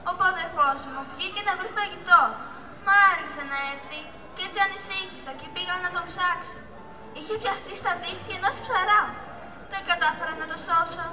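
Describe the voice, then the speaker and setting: A woman's voice: extremely high pitch, bright, forceful tone, heavily acted. A teenage girl playing a small, lonely, anxious female sea turtle in an amateur school puppet play, with theatrical pleading. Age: young teenager. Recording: echoey hall recording with audience noise.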